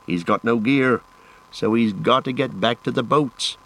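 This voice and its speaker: A man's voice: mid-range voice, a little slow, fairly bright tone, low-quality recording. A man acts out a devoted hobbit servant in a fantasy storybook. He is rustic and plain-spoken, stubborn and loyal, with an anxious edge as he reasons things out. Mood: steadying himself.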